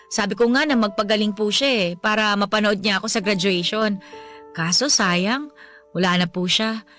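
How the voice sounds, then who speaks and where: A woman's voice: medium pitch, moderate pace, fairly bright tone, soft background music, close to an everyday voice. A woman playing a devoted young adult daughter with a Filipino accent in a radio drama, respectful and earnest. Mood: wistful. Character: devoted, respectful young daughter missing her late father.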